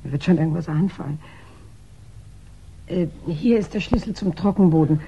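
An actress playing a determined, quietly probing young-to-mature widow in a 1960s German radio crime drama, speaking earnestly and carefully. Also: female voice, on the low side, moderate pace, lightly acted. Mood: practical resolve. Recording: muffled, noisy 1960s radio drama recording.

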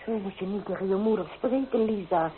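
A woman's voice: medium pitch, a little fast, phone-quality sound, lightly acted. An actress playing a practical, anxious middle-aged mother in a Dutch radio drama, genteel, soothing and admonishing. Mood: indignant. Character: practical, anxious, proper mother of a bride, conventional. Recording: hissy, band-limited old radio recording.

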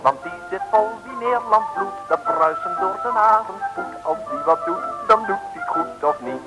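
An actor playing a jaunty narrator of a comic Dutch radio serial, delivering playful, rhythmic rhyming verse. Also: male voice, high-pitched, lively intonation, moderate pace, pushed and bright, faint music behind, low-quality recording.

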